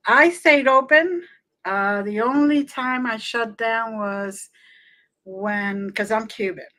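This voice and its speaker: A woman's voice: fairly high pitch, slow pace, bright, forceful tone. A woman, a medium, telling her story frankly and unhurriedly as a guest on an online talk show. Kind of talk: casual interview talk.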